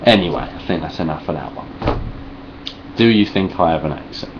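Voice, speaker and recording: Low-voiced essex geezer mumbling sarcastic rants at his webcam, bit of hiss, dull muffled audio. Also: a little fast. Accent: Cockney Essex. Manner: deadpan, sarcastic, rambling, heavy swearing. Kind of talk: sweary deadpan vlog response.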